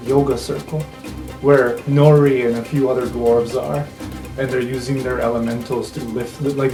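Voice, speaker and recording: Man game master narrating, roomy with music. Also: mid-range voice. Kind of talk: improvised tabletop game narration. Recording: roomy echo under music.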